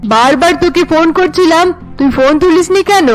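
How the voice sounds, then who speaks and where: A high-pitched, middle-aged cartoon mother voiced by a woman, stern and troubled. She scolds and pleads with her family over faint music. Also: normal speed. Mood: scolding. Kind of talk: Bengali cartoon dialogue.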